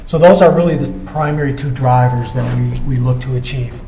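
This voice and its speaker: A man's voice: mid-range voice, brisk, crowd noise. An American man giving an explanatory technical presentation as a company representative, checking in with his listeners as he goes. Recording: echoey room with background noise.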